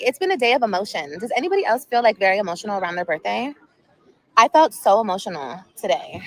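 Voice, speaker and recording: A woman's voice: a little high, fairly bright tone, low-quality recording. Emotional woman in her thirties livestreaming. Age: 30s. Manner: emotional, rambling, repeats herself. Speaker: vlogger. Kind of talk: casual livestream chat. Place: outdoors at sunset.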